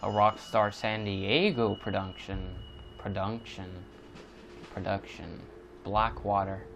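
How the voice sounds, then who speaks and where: A man's voice: on the low side, fairly even intonation, slow pace, gravelly, noisy background. A man giving casual let's play commentary, rambling and thinking aloud as he goes. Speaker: gaming YouTuber. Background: game music.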